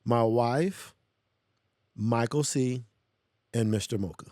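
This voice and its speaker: A man with an American accent and a mid-pitched voice tells a personal story in a casual way. He is candid and reflective, and the sound is close and dry. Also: slow pace, warm tone, no echo. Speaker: podcast guest.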